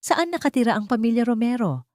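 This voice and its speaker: Woman voicing a resolute male doctor, pitched up. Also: big pitch swings, fast talker, a little mellow, no echo, clean studio sound. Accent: Filipino. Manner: firm, resolute.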